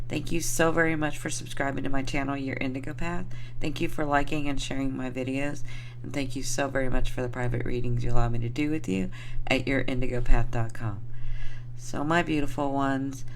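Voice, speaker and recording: A hoarse woman who is getting over the flu, giving an affectionate, rambling tarot reading to her viewers with lots of fillers. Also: rumbling low, normal speed, a little hiss or hum. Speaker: tarot reader.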